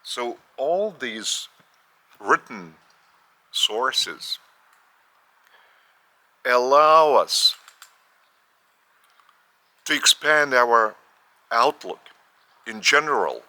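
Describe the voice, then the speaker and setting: A man with a Lithuanian accent, whose first language is Lithuanian, giving a spiritual teaching in a measured way, often repeating and restarting phrases. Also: medium pitch, expressive, pushed and bright. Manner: measured, with repetitions and careful restarts. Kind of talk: slow spoken teaching.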